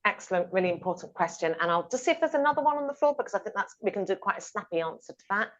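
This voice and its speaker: A woman's voice: medium pitch, animated, very fast. A woman chairing a panel seminar, moderating audience questions with effusive praise. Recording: slightly dull conference audio.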